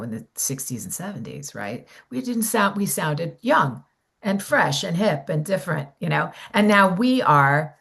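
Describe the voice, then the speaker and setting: A woman's voice: deep voice, husky. A middle-aged woman, a voiceover coach, giving rambling casual advice on a video call, full of restarts and "you know".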